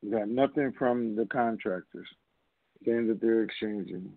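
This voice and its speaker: Male conference call host, blunt and informal, creaky fry in the voice, dry lo-fi line. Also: on the low side, measured pace, no echo. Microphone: phone conference line.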